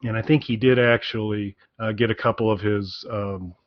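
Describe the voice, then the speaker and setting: A man co-hosting a casual podcast chat, speaking in a halting, self-deprecating way. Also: on the low side, fairly even intonation, no echo, muffled recording. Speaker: podcast co-host.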